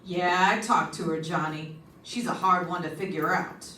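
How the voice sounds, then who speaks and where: A woman's voice: on the low side, fairly even intonation, normal speed, sharp tone. A woman playing a seasoned, plainspoken homicide lieutenant in an old-time radio detective drama, matter-of-fact and colloquial. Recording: roomy, distant stage recording, faint noise.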